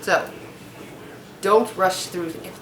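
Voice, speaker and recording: A woman's voice: lowish voice, slow pace, fairly bright tone, echoey room, slight background noise. A woman teacher explaining a read-aloud to children in simple, informal language. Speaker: elementary school teacher. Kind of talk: read-aloud lesson with casual explanation to pupils.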